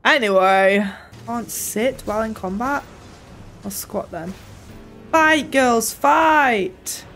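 A woman's voice: a little high, slow, a bit bright, soft background music. A young woman giving casual gameplay commentary, playful and often muttering to herself. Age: young adult. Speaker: game streamer. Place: small room at home. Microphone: desk mic close up, headset worn.

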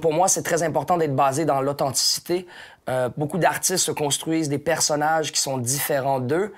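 A man in his thirties with a Québécois accent, answering interview questions thoughtfully with frequent hesitations and restarts, on a lapel mic in a small lounge studio. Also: mid-range voice. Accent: Québécois French. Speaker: hip-hop artist guest.

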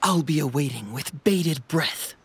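An actor playing an easygoing young male detective in a video game, speaking in a relaxed, wry way. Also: on the high side, measured pace, heavy background noise. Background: game music.

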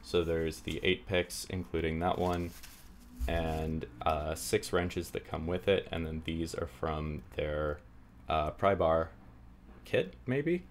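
Low-pitched male hobbyist, unhurried, stuffy nose, rambling tabletop demo. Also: slight background noise. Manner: rambling, self-deprecating, many fillers. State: stuffy, getting sick. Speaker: hobby lockpicker demonstrating. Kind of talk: casual hands-on tutorial. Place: indoors at a table.

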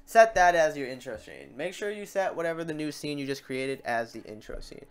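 A man hosting a software tutorial, talking casually and thinking aloud as he works through steps and mistakes. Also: a little high, expressive, brisk, clear, resonant tone. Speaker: tutorial host.

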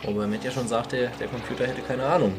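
A man's voice: medium pitch, steady tone, fast. A man giving chatty, wry commentary as he watches a cartoon. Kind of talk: casual nostalgic commentary over a cartoon. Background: cartoon soundtrack music.

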